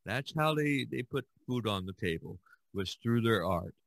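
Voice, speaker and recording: An older man hosts a relaxed, conversational podcast. His voice sits fairly low and is recorded quite dry, and he wanders through his thoughts, circling back to repeat phrases. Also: moderate pace, creaky, no room sound. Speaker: podcast host. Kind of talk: casual chat.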